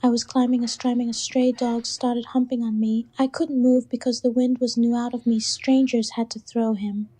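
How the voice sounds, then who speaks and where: A computer-generated woman's voice reading a viewer's donation message in an even, mechanical way. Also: on the high side, normal speed, few pauses, breathy edge. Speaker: text-to-speech donation message voice. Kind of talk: synthetic message readout.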